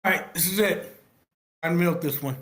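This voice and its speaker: A man's voice: a little high, normal speed, a bit bright, lightly acted. A man voicing a showy older male snake handler announcing to a crowd in a screenplay table read. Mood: showy, proud. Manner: announcing, showman-like.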